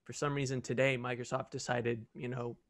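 A man's voice: medium pitch, level tone, a little fast, no room sound, clean studio sound. A young man answering casually on a video call Q&A as a student audio team member. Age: young adult.

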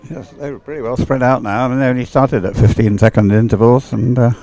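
A man's voice: medium pitch, fairly even intonation, quick pace, warm tone. A man giving live sports race commentary in a conversational, hesitant way, often musing aloud.

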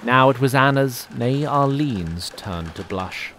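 A male narrator tells a fantasy tale in a formal, ornate storybook manner with a wry edge. Clear ship and water ambience runs behind his mid-pitched voice. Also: lively intonation, takes it slowly, noisy background.

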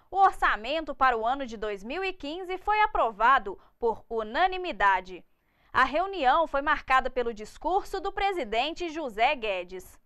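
High, bright woman reporter. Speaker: news reporter. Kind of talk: scripted news report narration.

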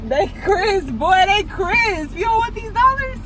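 A woman bantering casually in slangy, drawn-out speech, in a car at a drive-thru. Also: very high voice, big pitch swings. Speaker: prankster ordering at a drive-thru. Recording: noisy car with engine and traffic.

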